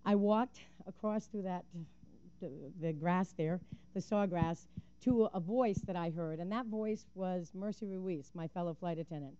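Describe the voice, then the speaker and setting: A woman's voice: fairly low pitch, unhurried, warm tone, clean tone, muffled recording. An older woman giving a prepared public comment at a council meeting, an earnest and vivid storyteller with a few fillers. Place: city council chamber. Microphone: podium microphone.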